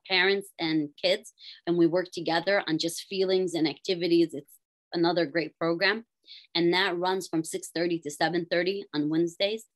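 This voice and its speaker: A woman with a friendly, slightly flustered manner, describing community programs. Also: lowish voice. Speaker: program presenter.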